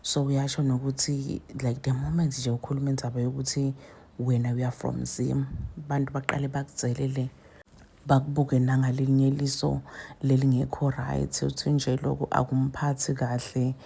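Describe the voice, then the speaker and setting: Host doing a recap. Gravelly, dark and flat, measured, with hesitations. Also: mid-range voice. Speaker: show host. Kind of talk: recap commentary.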